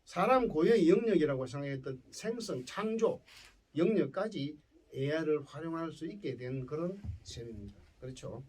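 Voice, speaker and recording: Animated Gyeongsang man lecturing, husky voice. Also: on the high side, normal speed, distant mic. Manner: checks in with listeners using tag questions. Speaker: professor giving a lecture. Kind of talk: lecture to school students. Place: indoor lecture before students.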